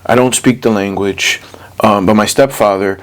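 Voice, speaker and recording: A man with a fairly low voice tells personal stories as a guest in an interview. His language is informal and streetwise, peppered with "you know," and the delivery is conversational. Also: steady tone, normal speed. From born in the US, Puerto Rican, moved to Puerto Rico as a child. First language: English. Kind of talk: casual personal storytelling.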